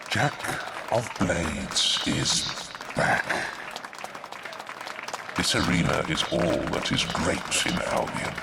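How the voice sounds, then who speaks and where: An actor playing a menacing, self-important legendary hero in a fantasy game, pompous and deliberate, with a gravelly voice. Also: male voice, fairly low pitch, heavily acted. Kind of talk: ceremonial speech to an arena. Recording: heavy noise and roaring arena crowd.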